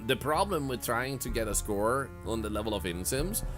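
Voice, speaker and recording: A man's voice: mid-range voice, lively intonation, moderate pace. Guy streaming a game, mutters counts then rants at the screen, voice swings all over, music going behind. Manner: mutters counts, bursts into loud exasperated rants. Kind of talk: casual gameplay commentary.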